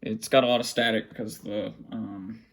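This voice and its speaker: A man with a gravelly, creaky voice giving casual unscripted commentary, muttering to himself while he works with his hands. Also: on the low side, fairly even intonation, moderate pace, a little hiss or hum. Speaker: hobbyist vlogger showing a radio collection. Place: small indoor room at a cluttered desk.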